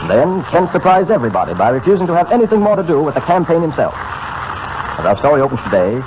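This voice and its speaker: A man's voice: fairly high pitch, big pitch swings, fast. A man narrating a 1940s radio serial as an announcer, in a dramatic, emphatic manner. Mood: dramatic, urgent. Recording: scratchy, muffled old radio recording.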